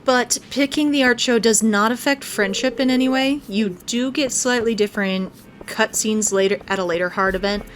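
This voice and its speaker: A woman's voice: fairly high pitch, moderate pace. A woman giving casual let's play commentary as a gaming video host, talking through what she is doing as she goes. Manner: narrates own actions aloud. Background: game music.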